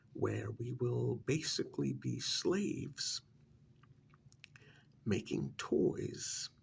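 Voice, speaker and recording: Man playing weary sheepish guy, ASMR role-play, soft near-whisper, thick vocal fry, hesitant rambling, faint background noise. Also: medium pitch. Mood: awkward, resigned. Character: weary, sheepish man breaking bad news, resigned and defensive.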